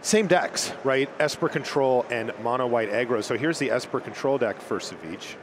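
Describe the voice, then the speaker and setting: A man calls a card game tournament with upbeat, chatty energy. His voice sits at a middle pitch. Clear background noise runs under the booth audio. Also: normal speed. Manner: energetic, conversational with fillers. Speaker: esports commentator. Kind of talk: play-by-play commentary.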